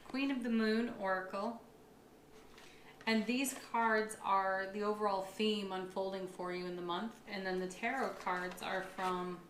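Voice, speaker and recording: A woman's voice: mid-range voice, fairly bright tone, a little hiss or hum. Upbeat woman giving a tarot reading. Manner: upbeat and affirming, with thoughtful asides. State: slightly froggy, tired voice. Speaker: tarot reader. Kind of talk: monthly astrology tarot reading. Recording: echoey room with faint ambience.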